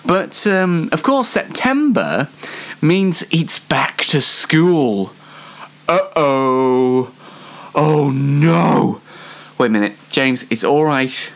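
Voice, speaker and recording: Male host, aged twenty, unhurried and very animated, playfully theatrical, self-deprecating comedic chat. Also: medium pitch. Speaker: host of a YouTube show. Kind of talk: chatty comedic monologue.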